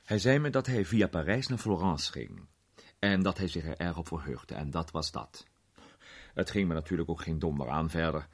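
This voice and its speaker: An actor playing a curious amateur sleuth who tells a suspenseful mystery story in animated, colloquial radio drama narration. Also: male voice, deep voice, husky. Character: curious male amateur sleuth recounting a suspenseful night adventure.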